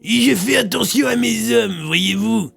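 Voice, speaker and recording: An ambitious army colonel from an audio drama, insinuating under a show of courtesy. His voice is high for a man, raspy and sharply bright, over music. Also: measured pace, full character voice. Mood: coaxing, manipulative. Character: scheming, ambitious male army colonel, slyly coercive. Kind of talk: French audio fiction acting.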